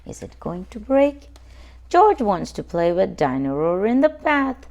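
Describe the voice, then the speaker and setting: A woman narrating a children's storybook as a read-aloud host, expressive and deliberate. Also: mid-range voice.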